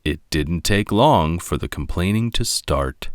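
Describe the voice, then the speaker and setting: A man narrating a children's storybook as a read-along, with a deliberate, expressive delivery. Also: deep voice, no room sound, studio quality.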